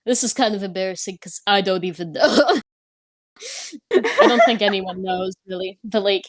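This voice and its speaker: A woman's voice: mid-range voice, very animated, unhurried, no room sound. A young woman voice actor chatting casually as a podcast guest, rambling with lots of fillers and frequent giggles. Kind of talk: casual chat.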